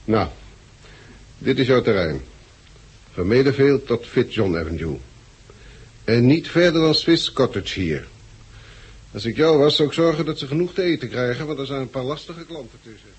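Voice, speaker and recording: An actor with a Dutch accent from the Netherlands playing a bossy, businesslike group leader in a 1960s radio drama, curt and matter-of-fact. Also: male voice, medium pitch, expressive, moderate pace, long pauses, a little mellow, slightly rough. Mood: curt, commanding. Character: bossy, businesslike male group leader giving orders to a captive. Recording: noisy old 1960s radio recording.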